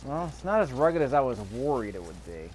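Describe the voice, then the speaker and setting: A man's voice: mid-range voice, big pitch swings, brisk, a little mellow, slight background noise. A man giving casual gameplay commentary, thinking aloud to himself as he plays. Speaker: gamer recording a gameplay video.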